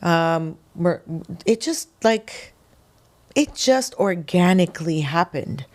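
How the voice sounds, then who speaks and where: Singer guest, woman from Quebec, low voice, lively storyteller who acts out what people said. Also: slow pace. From Quebec, Canada. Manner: animated storytelling, acts out quotes with laughs. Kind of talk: casual interview chat.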